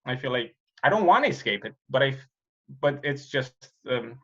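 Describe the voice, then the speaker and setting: A man with a Romanian accent talks through a casual podcast chat in an earnest, halting way, restarting his sentences often. His pitch sits in the middle range. The sound is a little dull and lo-fi. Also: very animated. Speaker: podcast host, comedian.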